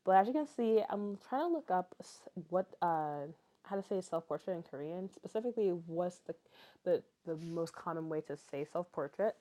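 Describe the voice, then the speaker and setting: A woman's voice: lowish voice, expressive, moderate pace, mellow. A young woman in her twenties, tired, narrating her vlog in a chatty, rambling way with many fillers. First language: English. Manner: chatty, rambling, frequent fillers and little laughs. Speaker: vlogger. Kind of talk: casual voice-over narration.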